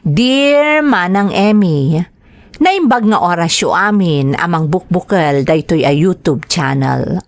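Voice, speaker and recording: Ilocano woman host, lowish voice. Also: lively intonation, slow, no echo. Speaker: drama programme host and letter reader. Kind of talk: reading greetings and a listener's letter.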